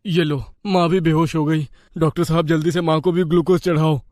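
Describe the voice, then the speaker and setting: A man's voice: a little high, flat delivery, fairly quick, darkish tone, dry recording, clean studio sound, pitched above the performer's own voice, close to an everyday voice. A man voicing an anxious young husband, urging and agitated, in a moral-story cartoon. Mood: worried. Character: anxious, urgent young husband and brother-in-law.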